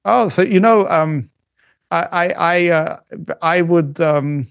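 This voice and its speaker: A middle-aged man chats as a podcast guest, his voice sitting a bit high. He talks in a halting way, circling back with restarts and fillers. The sound is dry and close. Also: no room sound. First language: not German. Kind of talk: casual conversation.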